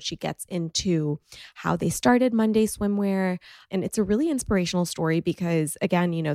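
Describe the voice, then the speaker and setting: Stuffy, gravelly-voiced woman podcast host. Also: sits low, a little mellow, no room sound, studio quality. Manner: chatty, gushing, many fillers like "like" and "um". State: stuffy, recovering from a cough. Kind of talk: casual solo podcast intro.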